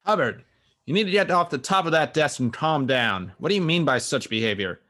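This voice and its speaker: A man's voice: on the high side, animated, a little fast, sharp tone. A man playing an indignant, scolding office boss in a comic radio play. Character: exasperated, bossy male office boss. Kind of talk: improv podcast radio play acting.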